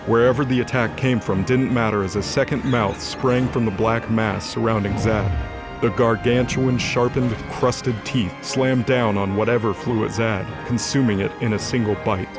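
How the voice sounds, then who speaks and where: Low-pitched male narrator over music. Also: fairly even intonation, moderate pace. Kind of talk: dramatic scripted narration.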